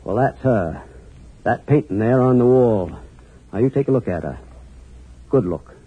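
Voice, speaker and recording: An actor playing a folksy but sinister New England hotel keeper with a clipped drawl, in a 1940s radio thriller style. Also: male voice, mid-range voice, lively intonation, measured pace, slight background noise, close to an everyday voice. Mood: menacing, commanding. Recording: muffled old radio recording.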